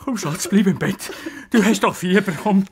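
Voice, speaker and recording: A man's voice: high-pitched, moderate pace, husky. A loving but henpecked fairy-tale father. He is nervous and apologetic, stammering soothingly in a Swiss German accent, as in a radio play for children.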